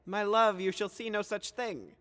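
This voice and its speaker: A man playing a grand, genial yet skeptical duke of Athens, declaiming in a measured, theatrical Shakespearean style. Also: high voice, fairly even intonation, a bit bright. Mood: gracious. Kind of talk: theatrical Shakespearean audio drama acting. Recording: long reverberant hall echo.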